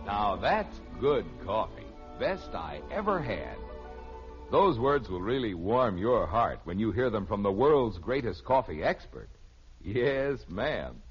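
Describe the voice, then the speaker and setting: A man's voice: mid-range voice, sing-song, measured pace, slightly rough, faint music behind. A man with an American accent delivering a folksy, persuasive 1950s radio commercial as an announcer. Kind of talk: 1950s radio advertising pitch. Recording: dull old radio recording.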